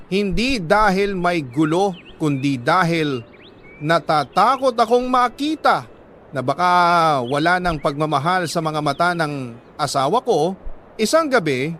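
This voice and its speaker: Male narrator, slightly high pitch, solemn dramatic storytelling, measured pauses, light background noise. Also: fairly bright tone. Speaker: narrator reading a listener's letter.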